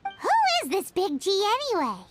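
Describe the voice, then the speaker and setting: A tiny, cheeky girlish companion from a game, with an extremely high, childlike voice. She teases bluntly and refers to herself in the third person. Game music plays clearly behind her. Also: lively intonation, fairly quick. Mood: teasing. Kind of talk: game cutscene voice acting.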